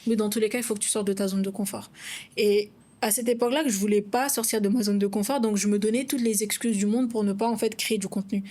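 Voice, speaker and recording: A woman's voice: medium pitch, steady tone, fast talker, warm tone. A young woman from Madagascar with a Malagasy accent, co-hosting a casual podcast, speaking frankly and outspokenly in a conversational way. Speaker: podcast co-host, content creator.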